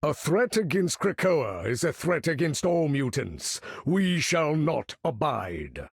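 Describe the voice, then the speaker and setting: A commanding supervillain for a video game. The voice is husky and deliberate, swinging widely in pitch with proud, theatrical menace. It is a dry, close studio sound. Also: male voice, big pitch swings, dry recording, heavily acted. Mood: commanding, grave. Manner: grandiose, measured, theatrical delivery. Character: proud, menacing mutant leader, commanding supervillain with grand bearing. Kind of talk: video game character voice lines.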